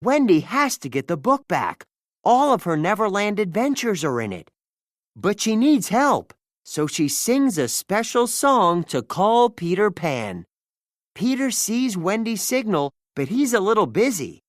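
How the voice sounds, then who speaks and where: Storybook narrator, expressive, animated pitch, slow pace, dry sound, children's read-along. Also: dry recording. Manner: expressive, acts out dialogue.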